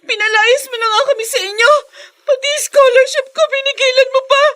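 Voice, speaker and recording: A woman's voice: extremely high pitch, fairly even intonation, fairly quick, fairly bright tone. A woman with a Filipino accent voicing a poor, wronged young schoolgirl in an emotional, tearful radio drama style. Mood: pleading, indignant.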